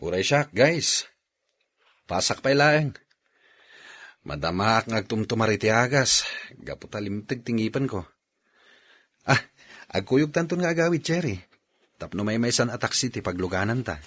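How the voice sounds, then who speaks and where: An actor plays a gentlemanly young Ilocano bachelor in an audio drama, casual and coaxing. His voice is on the low side and swings widely in pitch, with a rough, husky grain. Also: animated, normal speed, pauses often. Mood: casual, friendly. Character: friendly gentlemanly young bachelor, Daniel, courting shyly. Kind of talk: radio drama acting in Ilocano.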